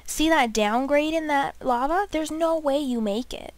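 A woman's voice: sits high, moderate pace. A woman chatting casually while playing a game, giggly and blunt, swearing when things go wrong, on a headset mic. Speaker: let's play co-host. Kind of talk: casual gaming chat.

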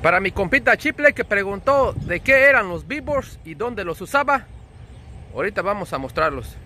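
A man's voice: high-pitched, very animated, normal speed, music in the background. A man with a Mexican accent explaining things in a casual, colloquial, friendly vlog style, as a trucker would. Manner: friendly, colloquial, with diminutives and slang. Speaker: trucker vlogger. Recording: outdoor ambient and vehicle noise.

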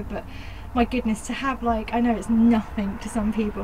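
High-voiced southern English woman, chatty. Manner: informal and enthusiastic. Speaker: gardening vlogger. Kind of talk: casual chatty vlog. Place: outdoors on an allotment, partly in a shed. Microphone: handheld camera at arm's length. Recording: clear outdoor background noise.

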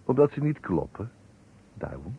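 A shrewd, methodical detective in an old radio play. His low voice swings expressively through formal, precise phrases. The recording is tinny and noisy. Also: big pitch swings, normal speed. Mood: measured, insistent. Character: shrewd, courteous male investigator, probing and methodical. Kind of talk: Dutch radio drama acting.

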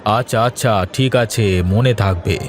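Slow-talking village husband played by a man, noisy track. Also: fairly low pitch, lightly acted. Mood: agreeable. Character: village husband, simple pumpkin seller, good-natured and excitable. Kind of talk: cartoon moral-story voice acting.

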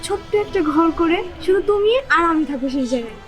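Woman playing anxious young cartoon girl, really high voice, quick talker, music behind. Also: lively intonation, fairly bright tone. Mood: worried. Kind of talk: cartoon drama dialogue.

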